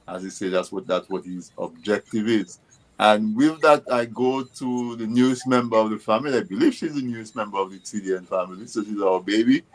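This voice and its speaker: A man with a fairly low voice hosts a conversational interview. He is chatty and encouraging, moving between his guests and his listeners. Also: a little fast, rough recording. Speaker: interview show host. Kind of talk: conversational hosting.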